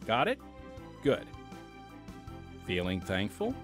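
A man's voice: fairly low pitch, wildly swooping pitch, slow. A man hosting a podcast, giving a scripted introduction and speaking directly to listeners. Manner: addresses listeners directly as friends. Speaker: podcast host and announcer. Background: music.

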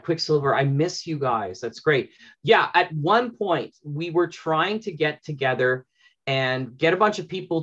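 A man's voice: mid-range voice, fairly bright tone. A man, talking casually and effusively in a rambling way as an interview guest. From Canada. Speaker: interview guest, audio drama producer. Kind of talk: enthusiastic casual interview talk. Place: small home room with bookshelves, indoors. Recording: dull, lo-fi video-call audio.

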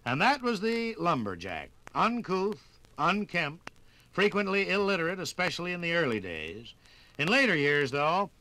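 Animated, bright-voiced American radio narrator, male. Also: a little high, no room sound. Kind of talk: 1950s radio scripted narration. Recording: 1950s radio LP with music.

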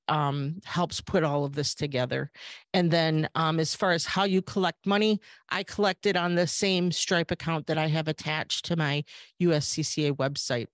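A woman's voice: deep voice, no echo, clean studio sound. Chatty woman on a video call. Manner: conversational, frequent ums and uhs. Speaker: firearms instructor. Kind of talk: webinar presentation. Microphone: video call webcam.

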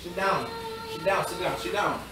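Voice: medium pitch, normal speed. An amateur performer acting out an improvised comedy skit in a living room, recorded from a distance. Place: living room with tiled floor. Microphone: distant handheld camera. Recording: echoey room, distant mic, music over it.

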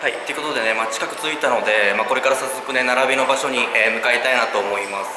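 A man in his thirties filming himself on a walk, chatting in a relaxed way with many fillers. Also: mid-range voice, racing. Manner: relaxed, filler-heavy narration with frequent "ne" tags. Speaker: sneaker vlogger. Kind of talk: casual walk-and-talk vlog. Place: outdoors on city streets, walking. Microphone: handheld camera at arm's length. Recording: street noise behind.

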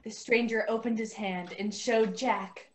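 A woman's voice: a little high, fairly even intonation, unhurried. A woman reading scripted storybook narration over a video call from a bedroom. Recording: noisy, echoey video call audio.

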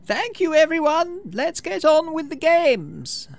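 A man's voice: very animated, takes it slowly, vocal fry, full character voice. A man voicing a frail, elderly woman governor, proud and gracious, with a weak, thin voice in a video game. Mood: proud, ceremonial. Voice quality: weak, weedy, thin falsetto.

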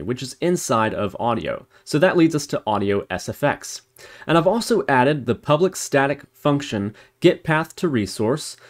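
A man narrating an instructional screencast as the presenter, explaining step by step in a walkthrough manner. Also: medium pitch. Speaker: tutorial presenter.

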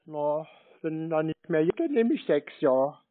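A man's voice: on the high side, very animated. A man playing a naive, eager, rambling provincial German fellow on a prank phone call. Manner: naive, rambling, feigned slowness. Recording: narrow, tinny phone-like sound.

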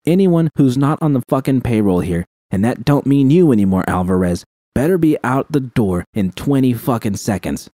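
Guy doing a loud bossy bar owner lady, barks orders, way higher than his own voice. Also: lively intonation, normal speed, few pauses, no echo, clean studio sound, lightly acted. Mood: barking. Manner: bossy, barking. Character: brash woman bar boss.

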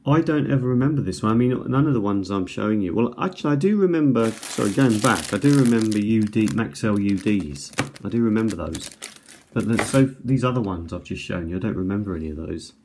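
A man's voice: on the low side, animated, fairly quick, a little mellow. A man giving casual unboxing commentary as a video host, hesitant and musing as he thinks aloud. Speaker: video host reviewing items. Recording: home recording with faint background noise.

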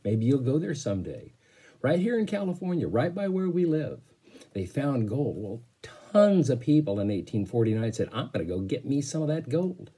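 An American man in his fifties, a teacher talking informally and reading a storybook aloud to children, with a husky voice. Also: mid-range voice, sing-song, moderate pace, warm tone, low-quality recording. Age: 50s. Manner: informal, asks the listeners questions. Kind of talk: casual explaining and storybook read-aloud for children. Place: small bedroom at home, indoors. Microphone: phone or laptop at arm's length.

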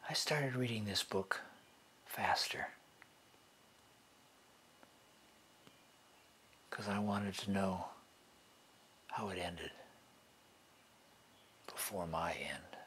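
A man playing a bitter, sardonic convict awaiting execution in a dramatic monologue, taunting and hushed, with long pauses. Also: sits low, slight background noise. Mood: grim, brooding.

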